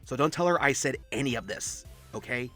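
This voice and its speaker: A man's voice: mid-range voice, brisk, faint music behind, natural voice. A man voicing a friendly, chatty young male werewolf who talks in teasing gamer slang in an audio roleplay. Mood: teasing, earnest. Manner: slangy, hushed-to-excitable, teasing.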